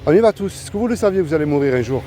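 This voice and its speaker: A man evangelizing in a street interview, asking passersby direct questions and slowing down to stress his points. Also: on the high side, animated, a crowd in the background. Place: city street outdoors. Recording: street noise behind.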